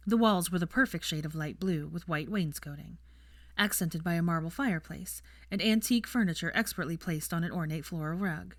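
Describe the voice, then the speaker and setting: A woman's voice: fairly low pitch, fairly quick, dry recording, clean studio sound. A woman reading fiction aloud as a scripted story narration.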